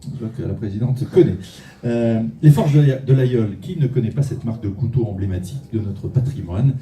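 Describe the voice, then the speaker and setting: A man's voice: medium pitch, brisk, warm tone. A man hosting an awards ceremony on stage in a large hall, chatty and jokey, with loose phrasing and frequent restarts. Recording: echoey hall, mic at a distance, faint music.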